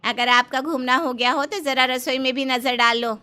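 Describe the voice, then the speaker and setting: A woman voicing a bossy, sharp-tongued older housemaid who scolds and gives orders like a mother-in-law in a story. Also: sits high, steady tone, normal speed, smooth. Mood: nagging. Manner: commanding, scolding.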